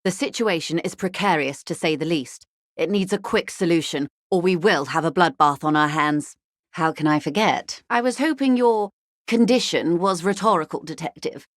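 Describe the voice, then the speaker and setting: Woman acting a poised, wry corporate negotiator, low-pitched. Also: dry recording, clean studio sound, lightly acted. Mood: polite concern. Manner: articulate, genteel, measured, drily ironic. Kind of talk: scripted video game dialogue.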